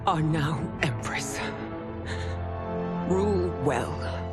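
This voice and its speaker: A woman's voice: deep voice, expressive, drawn-out words, over loud music, exaggerated voice. A woman playing a mature, regal empress in a video game, speaking in a stately way. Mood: weary, tender. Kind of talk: cinematic video game acting.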